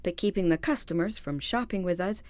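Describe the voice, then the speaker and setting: A woman's voice: on the low side, brisk, darkish tone, no echo, lightly acted. A woman acting in a radio-style mystery drama as a polite, anxious store heiress, speaking in a formal, earnest, dramatic way. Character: polite, anxious female department store heiress seeking help. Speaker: actor in an audio drama.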